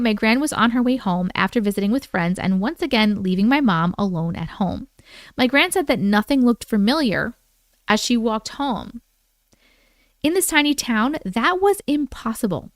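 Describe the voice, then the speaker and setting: Woman, higher-pitched voice, casual livestream host, animated story reading, informal asides, dry room-free sound. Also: moderate pace, no room sound. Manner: animated, informal, interjects reactions. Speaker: live stream host reading listener stories.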